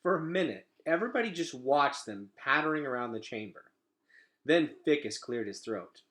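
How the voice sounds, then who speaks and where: A man reading a children's storybook aloud as an expressive narrator. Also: mid-range voice, moderate pace. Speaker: read-aloud reader.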